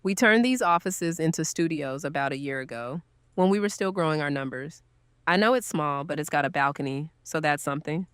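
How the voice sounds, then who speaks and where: A woman's voice: low-pitched, fairly quick, fairly bright tone, clear, resonant tone, dry recording. A woman playing a kind, earnest newcomer's host in an audio drama, speaking casually and sympathetically. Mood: friendly. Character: kind, grateful woman welcoming a newcomer, gently guilty.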